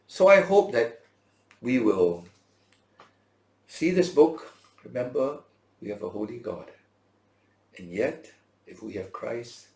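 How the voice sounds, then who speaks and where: A man preaching a Bible lesson as a teacher, in emphatic short phrases with rhetorical questions and a gravelly voice. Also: sits low, a little mellow. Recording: roomy, echoing sound.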